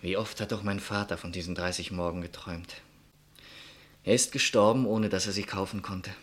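An actor playing a homesick, generous young army assistant surgeon in a 1960s radio play, modest and wistful. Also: male voice, low voice, fairly even intonation, fairly quick. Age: young adult. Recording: 1960s radio drama recording.

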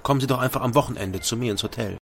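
A man's voice: mid-range voice, very fast, creaky, natural voice. An actor playing a weary, impatient man stranded in a plague city, in radio drama style. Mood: weary, frustrated. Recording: music playing behind the voice.

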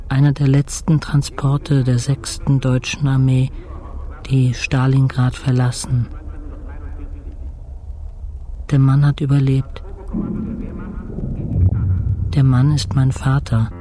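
A man acting as a weary middle-aged hospital patient in a radio play. He speaks slowly, in short sober phrases, with a flat line and a mellow, dark tone. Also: medium pitch, faint music behind, lightly acted. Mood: grave, detached. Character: middle-aged male intensive-care patient, sober, weary, recounting his ordeal. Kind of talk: scripted radio drama narration.

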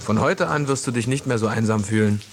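A man's voice: medium pitch, brisk, soft background music, lightly acted. An actor playing a plain village man, speaking in a dry, folksy manner.

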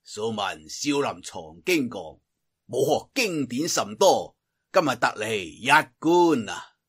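A man's voice: medium pitch, sing-song, slow, breathy edge, heavily acted. Man doing a smug, imperious martial arts villain. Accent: Cantonese. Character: arrogant, mocking male martial arts master, villainous intruder. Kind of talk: storybook character voice.

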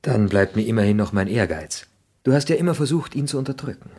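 An ambitious, hot-headed young son in old radio drama style. His low voice has a husky edge and very lively intonation. He argues with impassioned, idealistic defiance. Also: fast talker. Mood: defiant, impassioned. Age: young adult. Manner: impassioned, argumentative. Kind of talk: 1960s German radio drama acting.

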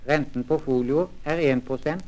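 A man reading a scripted language lesson formally, with measured, careful enunciation on a vintage record. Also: on the high side, darkish tone, low-quality recording. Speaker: language course reader.

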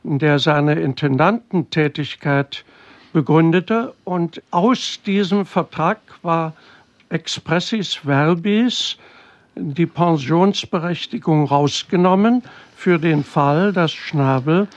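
A man recollecting in an interview, choosing formal words in a halting way. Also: fairly high pitch. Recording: dull, muffled sound.

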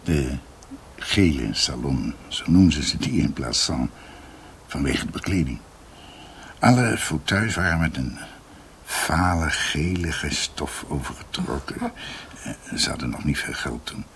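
An actor playing a reflective doctor in a radio drama, haltingly recalling his family as an outsider. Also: very deep voice, lively intonation, vocal fry, fairly bright tone, a little hiss or hum, full character voice.

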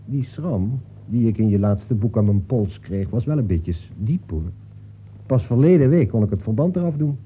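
An actor in a Dutch radio play, playing a talkative, breezy detective from a novel who nags in a familiar way. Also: male voice, on the low side, lively intonation, normal speed, a little hiss or hum, close to an everyday voice. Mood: breezy, reproachful. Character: talkative fictional detective come to life, chummy, reproachful. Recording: muffled phone-like old radio recording.

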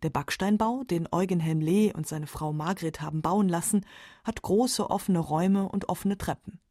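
A woman narrating a scripted radio documentary in a measured, matter-of-fact way. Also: fairly low pitch, dark tone, dry recording, clean studio sound.

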